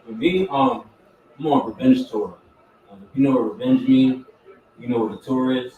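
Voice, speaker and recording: A man's voice: medium pitch, normal speed. A man, a football player, speaking in a determined, confident way. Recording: dull, echoey, distant sound.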